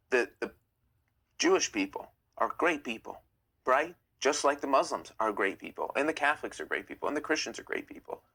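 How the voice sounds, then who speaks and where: Bright-voiced man chatting live. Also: gravelly. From United States. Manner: chatty, opinionated, gets heated and rises in pitch. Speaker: live-stream host. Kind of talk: casual live-stream chat reading comments.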